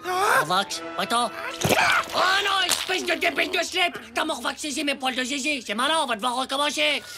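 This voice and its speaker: Casting: ten-year-old cartoon boy, mischievous schemer, high voice, brash childish delivery. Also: normal speed, music in the background. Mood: exasperated, agitated. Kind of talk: French cartoon voice acting.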